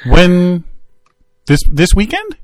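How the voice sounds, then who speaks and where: Teasing lively-voiced male radio host. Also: high-pitched, no room sound. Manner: joking, teasing, quick to laugh. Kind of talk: casual banter on a podcast. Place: studio.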